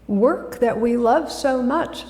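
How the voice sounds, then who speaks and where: A woman giving a gentle, soft-spoken talk as a guest speaker in a church. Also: fairly high pitch, slow pace. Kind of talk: sermon talk. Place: church sanctuary. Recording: echoey hall.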